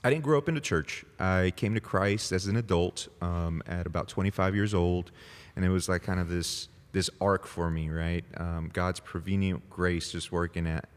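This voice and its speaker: A deep-voiced man in his forties from El Salvador, whose first language is Spanish, talking as a pastor guest. He is chatty and easygoing, full of fillers and laughing asides. His voice rings with a long echo in the room. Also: fairly even intonation, normal speed. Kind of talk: casual interview conversation. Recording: long reverb, echoey room.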